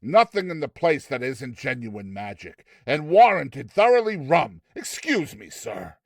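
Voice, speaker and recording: Man acting a suave, sinister shopkeeper, very animated. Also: medium pitch, measured pace, no room sound, clean studio sound, full character voice. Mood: suave salesmanship. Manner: smooth, obsequious, showmanlike. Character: suave, smiling, faintly sinister male magic shopkeeper. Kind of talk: dramatized storybook reading.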